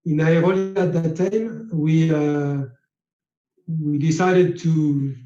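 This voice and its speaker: A man whose first language is French gives a slide lecture on manufacturing, speaking haltingly with many fillers. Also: a little high, fairly even intonation, dark tone, echoey room, muffled recording.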